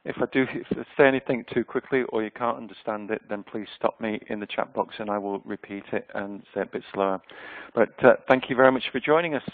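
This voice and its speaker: A man's voice: fairly low pitch, quick pace, dry recording. A man in his fifties from Yorkshire, with a Yorkshire accent, giving an online presentation in a conversational way with frequent ums. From Yorkshire, England. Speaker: webinar presenter. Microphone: webinar microphone. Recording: dry, tinny phone-like webinar audio.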